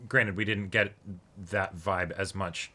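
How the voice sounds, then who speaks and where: A man's voice: low-pitched, steady tone, slow, pushed and bright. A man co-hosting a reaction show in casual discussion, speaking in an agreeable, conversational way.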